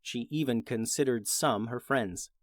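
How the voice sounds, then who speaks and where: A man's voice: mid-range voice, unhurried, dry recording. Man narrating stories for kids, dry close sound. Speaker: podcast host and storyteller. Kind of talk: scripted children's story narration.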